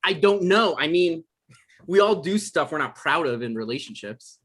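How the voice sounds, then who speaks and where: Male, comedy script read: actor as naive, defensive novelist, highly animated pitch. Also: moderate pace. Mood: uneasy, defensive.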